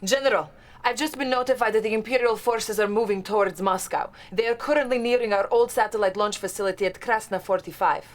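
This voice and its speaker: An actress playing a dutiful, earnest Soviet information officer with a Russian accent, giving video game mission briefings. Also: female voice, mid-range voice, fast, natural voice. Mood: formal, resolute. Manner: crisp, formal, patriotic.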